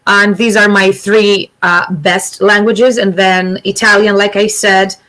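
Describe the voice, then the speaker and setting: A woman's voice: mid-range voice, flat delivery, a little slow, a bit bright. A woman whose first language is Greek, chatting casually as a guest on a video call and often restarting her sentences. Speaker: guest musician. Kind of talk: casual video chat interview.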